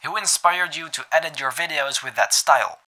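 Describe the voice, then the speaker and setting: A man reading viewer questions aloud in an even, read-out way without fillers. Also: fairly high pitch, fairly quick, poor audio. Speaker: question reader.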